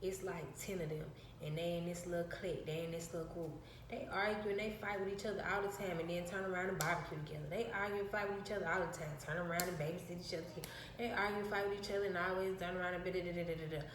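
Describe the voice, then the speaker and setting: Soft-spoken woman venting in an echoey room. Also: fairly low pitch, fairly even intonation, quick pace, background noise. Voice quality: soft, reserved voice. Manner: rambling, repeats phrases, slips into quoted dialogue. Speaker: vlogger. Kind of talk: casual storytelling to camera.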